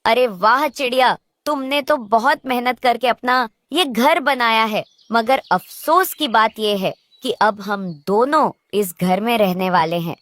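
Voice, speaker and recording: A woman plays a wicked cartoon crow wife with a fairly high voice, recorded close and dry. She is bossy and wheedling, lazy herself yet always scheming. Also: measured pace, few pauses, fairly bright tone, no echo. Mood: threatening. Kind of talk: Hindi children's cartoon character voice.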